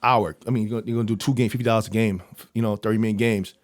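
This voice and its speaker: A Jamaican-born man who mentors young people talks quickly in a fairly low voice. He comes across energetic and keeps restarting and repeating words. The recording is close and dry. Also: no room sound. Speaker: youth mentor and coach. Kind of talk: casual interview talk.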